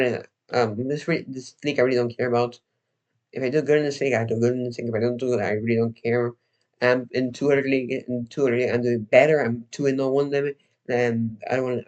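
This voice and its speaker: A man's voice: mid-range voice, darkish tone. Young man rambling on a livestream. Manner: rambling, halting, with frequent restarts and fillers. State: losing his voice, slightly hoarse. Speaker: livestream host. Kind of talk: casual livestream chat.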